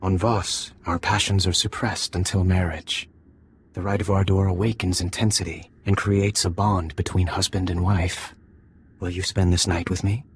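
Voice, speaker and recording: An actor playing a dutiful young alien bridegroom in a video game, speaking formally and with restraint. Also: male voice, deep voice, level tone, normal speed, slight background noise. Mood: solemn, tender.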